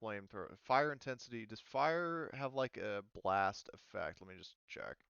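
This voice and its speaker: A man's voice: fairly low pitch, animated, moderate pace, creaky, a bit bright, smooth, dry recording, lo-fi sound. A man running a tabletop game as game master, talking casually and thinking aloud as he checks the rules.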